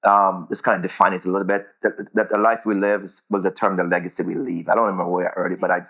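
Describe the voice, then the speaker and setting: A man's voice: sits low, level tone, racing, vocal fry, a bit bright. A man with a Jamaican accent answering interview questions conversationally, repeating words as he goes and often checking in with "right?".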